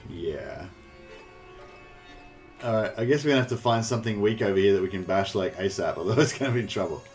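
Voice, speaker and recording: An Australian man chatting casually on a live game stream, rambling and halting, with some swearing. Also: on the low side, lively intonation, a little mellow. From New South Wales, Australia. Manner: rambling, halting, foul-mouthed. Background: faint game music.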